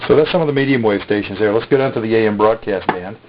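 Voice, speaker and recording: Man, lowish voice, hesitant filler-heavy delivery, muffled lo-fi capture; casual product demo. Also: slight background noise. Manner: halting, many "uh" fillers. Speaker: radio seller demonstrating a receiver. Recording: dull lo-fi recording.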